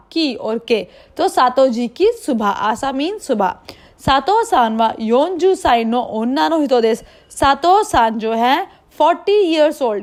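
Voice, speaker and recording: A woman with an Indian accent teaching a language lesson, explaining didactically and often posing questions that she answers herself. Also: high voice.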